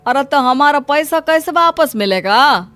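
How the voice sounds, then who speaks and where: A woman's voice: high-pitched, lively intonation, measured pace, a bit bright, faint music behind, voice pushed up. A woman voicing an impatient older moneylender in a story, nagging and insistent. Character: impatient older village moneylender demanding repayment.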